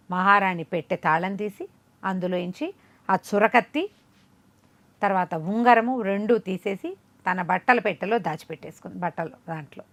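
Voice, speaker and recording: A woman telling a folk tale in an animated, conversational way, with explanatory asides. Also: on the low side, vocal fry. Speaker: storyteller.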